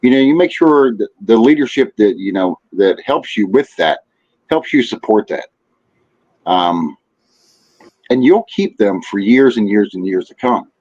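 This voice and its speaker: A man's voice: lowish voice, expressive, unhurried, darkish tone. A man chatting casually as a podcast guest, giving rambling advice peppered with "you know". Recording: dull, lo-fi audio.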